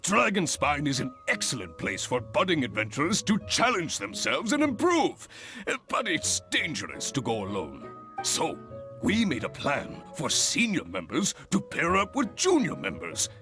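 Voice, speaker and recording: Exuberant, high-voiced guild leader. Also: male voice, huge pitch swings, moderate pace, bright, forceful tone, faint music behind, heavily acted. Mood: proud, enthusiastic. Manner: effusive, booming enthusiasm, theatrical. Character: exuberant, grandly theatrical adventurers' guild leader, a man. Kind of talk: video game character acting.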